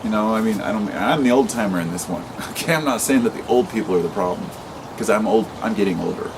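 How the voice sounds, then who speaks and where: A man in his late thirties speaks in a deep, low voice, rambling and impassioned as he vents in an unscripted vlog. He swears freely and often restarts his sentences. Steady traffic noise runs clearly behind him. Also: fast. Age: 30s. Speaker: vlogger. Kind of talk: unscripted monologue to camera.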